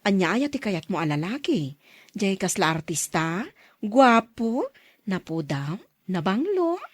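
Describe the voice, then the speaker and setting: Actress as animated married woman coworker. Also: mid-range voice, measured pace, no room sound, studio quality. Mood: teasing. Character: chatty married woman coworker at a school, good-natured matchmaker. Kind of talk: Philippine radio drama acting.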